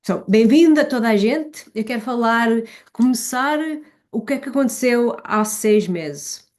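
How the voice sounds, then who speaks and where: A woman's voice: a little high, expressive, measured pace. Woman with a European Portuguese accent presenting. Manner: warm, fluent, switches between Portuguese and English. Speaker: keynote speaker, foundation executive. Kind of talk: online conference presentation.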